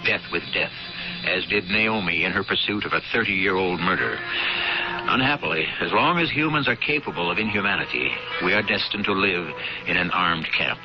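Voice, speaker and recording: A man hosting a radio mystery series with solemn, measured, philosophical scripted narration. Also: low voice. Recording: dull old radio recording over music.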